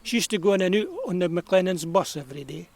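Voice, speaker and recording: Man acting a high-voiced, kindly old Scotsman. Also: fast, vocal fry, darkish tone, dry recording. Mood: fond, nostalgic. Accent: Scottish, rural Perthshire. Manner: folksy, reminiscing, chuckling. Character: kindly, nostalgic old Scottish man, about eighty. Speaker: actor in an audio drama. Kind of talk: naturalistic audio drama storytelling.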